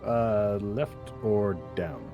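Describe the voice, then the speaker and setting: Lively, low-voiced man at a game. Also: very slow, dull audio. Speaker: tabletop game player. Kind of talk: casual group game chat. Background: loud music.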